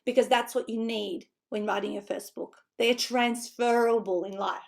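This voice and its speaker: This middle-aged woman speaks with a Macedonian-tinged Australian accent over an English she learned in her teens. Her voice sits high, and she talks with eager, chatty energy, often checking in with her listeners as she coaches them on writing a book. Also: moderate pace, creaky. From North Macedonia. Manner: energetic, chatty, frequent tag questions and laughs. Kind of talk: online seminar presentation.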